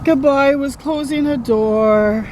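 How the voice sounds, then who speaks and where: A woman reading a children's picture book aloud as a storytime host, expressive and chatting to young listeners. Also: sits high, slow pace, slight background noise. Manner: expressive, interjects comments and questions to young listeners.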